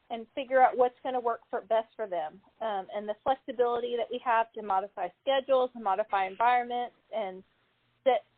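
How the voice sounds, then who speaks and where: A woman with a fairly high voice coming through a thin telephone line. She speaks earnestly and steadily as an educator, pausing on ums while she thinks. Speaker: educator at a special school. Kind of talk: panel answer on a call-in town hall. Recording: tinny phone line.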